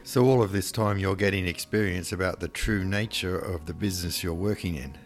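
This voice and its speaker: A man interviewing a guest, asking calm questions and summing up her points. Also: sits low, brisk, faint music behind. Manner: calm, summarising prompts.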